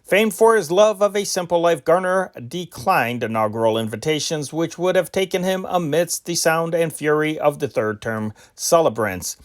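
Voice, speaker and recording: A man reading old newspaper dispatches aloud in an unpolished, measured way, with occasional slips. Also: on the high side, lively intonation. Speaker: news reader.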